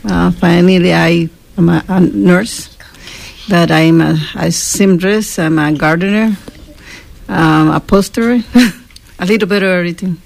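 Woman, gravelly and halting. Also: on the low side, darkish tone. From Costa Rica. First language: Spanish. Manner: halting, searching for words. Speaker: guest. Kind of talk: radio interview. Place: radio studio.

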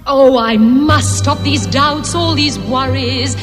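A woman's voice: sits high, slow pace, music in the background. A woman playing a nervous but hopeful young woman, singing a musical number from a film soundtrack. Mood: wistful hopeful. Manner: singing, wistful. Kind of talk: musical song. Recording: film soundtrack with orchestra and reverb.

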